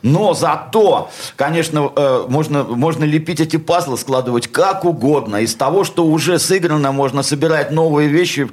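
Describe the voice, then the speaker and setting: A man calmly explaining his views as a guest in a radio conversation. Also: a little high, moderate pace. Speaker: studio guest, lyricist.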